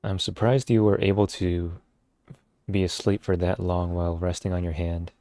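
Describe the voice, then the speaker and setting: A male streamer chats casually over his game. His voice is very low, dark and mellow, and his delivery stays low-key. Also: little pitch movement, moderate pace, no room sound. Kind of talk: casual live gameplay commentary.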